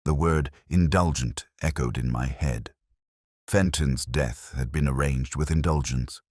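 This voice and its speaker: A man playing a brooding, erudite middle-aged detective who narrates his case in a measured, literary, reflective way, as scripted mystery narration. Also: a bass voice, a little mellow, breathy edge, no echo, clean studio sound, exaggerated voice.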